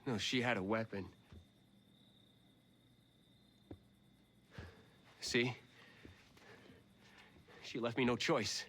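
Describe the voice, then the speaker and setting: Male actor as defensive soldier shirking blame, mid-pitched, agitated, game cutscene. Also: fairly even intonation, measured pace, a bit bright, a little hiss or hum, close to an everyday voice. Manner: pleading, agitated. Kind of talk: video game cutscene acting.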